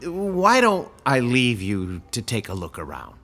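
Courteous, sinister landlord, swooping intonation. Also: male voice, mid-range voice, wildly swooping pitch, sharp tone, soft background music, heavily acted. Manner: formal, polite, measured, evasive. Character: courteous, faintly sinister male landlord of an apartment building. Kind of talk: modern audio drama acting.

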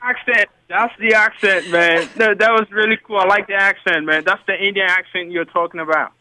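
Man calling in, bright. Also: high voice, steady tone, fairly quick, poor audio. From Liberia. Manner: polite, slightly hesitant, explains at length. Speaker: caller. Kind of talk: casual call-in chat.

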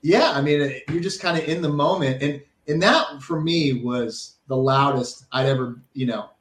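A man's voice: fairly high pitch, moderate pace, a bit bright. An American man, a former college ballplayer, reminiscing casually as an interview guest. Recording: roomy, echoey sound.

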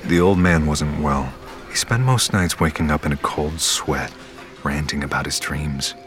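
An actor playing a grave storyteller, giving measured, scripted narration of a mythic audio drama. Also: male voice, a bass voice, a bit bright. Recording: music behind the narration.

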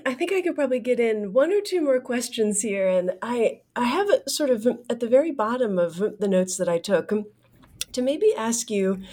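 A woman's voice: mid-range voice, darkish tone. Chatty woman interviewer. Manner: chatty, self-correcting, quick laughs. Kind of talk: conversational interview.

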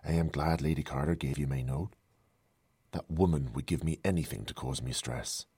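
Guy doing a soft hesitant young woman, kinda husky, dry close sound. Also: very deep voice, normal speed, no room sound. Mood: earnest.

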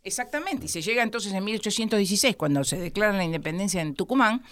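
An Argentine woman historian with an Argentine accent, a guest in an interview who explains at length with many pauses. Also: medium pitch, lively intonation, a bit bright, dry recording. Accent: Argentine Spanish. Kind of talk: studio interview.

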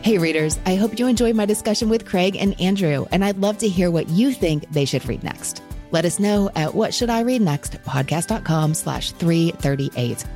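A woman's voice: lowish voice, lively intonation, moderate pace, few pauses, a little mellow, soft background music. A woman hosting a book podcast, chatting with guests and addressing her listeners. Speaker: podcast host. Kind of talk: warm book-podcast hosting, interview and sign-off.

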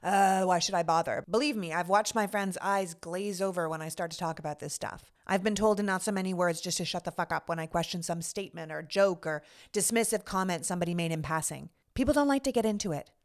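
A woman's voice: on the low side, quick pace, hardly any pauses. A woman in her forties from Canada with a Canadian accent, delivering a frank, sardonic scripted monologue as a podcast host, with casual swearing.

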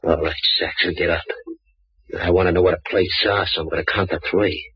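An actor playing a tough, threatening male gunman in a 1940s radio drama, gruff and barking orders. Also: low voice, fairly even intonation, a little fast, dry recording. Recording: dull old radio recording.

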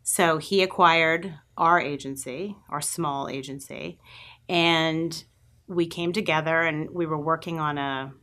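A middle-aged woman with a Long Island New York accent and a notably low voice. She speaks as an agency president in a studio interview, telling lively anecdotes and acting out bits of old conversations. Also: slow. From New York, USA. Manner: lively anecdotes, acts out remembered dialogue. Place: podcast studio.

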